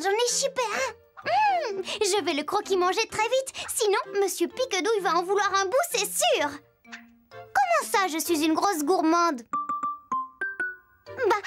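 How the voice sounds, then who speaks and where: A woman playing a bubbly, mischievous little girl who chattily tells stories in a children's cartoon. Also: very high voice. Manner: chatty, giggly, exclamatory. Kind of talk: children's cartoon storytelling to the viewer.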